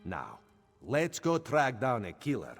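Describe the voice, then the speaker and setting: A man's voice: mid-range voice, huge pitch swings, slow, vocal fry, heavily acted. A man voicing a sly male cat-folk thief companion who speaks of himself in the third person, as AI-generated game audio. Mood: scheming. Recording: game audio over faint music.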